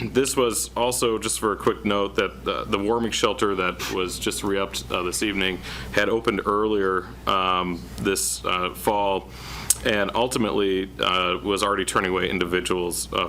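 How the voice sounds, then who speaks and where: A man presenting as a city planning director at a public meeting, in a self-described monotone with many uh fillers. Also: low voice, moderate pace, slight background noise. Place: council chamber.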